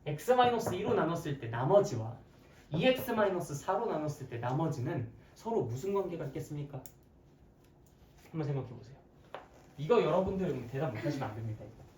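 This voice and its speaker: A hoarse math teacher lectures a class with widely swinging intonation and a playful, conversational manner. Questions are tossed out to the students often. The voice comes through a roomy, distant microphone with faint noise behind it. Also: medium pitch, very animated, brisk, a little mellow. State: hoarse, frequent throat clearing. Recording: echoey, distant mic, background noise.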